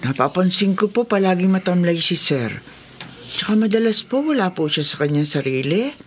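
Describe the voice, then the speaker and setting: Anxious, deferential middle-aged housemaid played by an actress. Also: female voice, fairly low pitch, lively intonation, normal speed, slight background noise, close to an everyday voice. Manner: hesitant, respectful, anxious. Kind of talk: horror radio drama acting.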